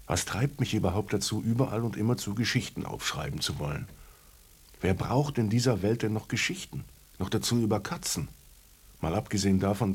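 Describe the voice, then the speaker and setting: An actor playing an author who narrates his own story in a dry, dramatic radio-play manner, with a gravelly voice. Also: on the low side, darkish tone.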